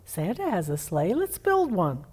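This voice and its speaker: A woman voicing an excited, confident storybook rabbit with an eager, exclaiming manner. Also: on the low side, measured pace, breathy edge, heavily acted. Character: excited, confident, kind-hearted young rabbit.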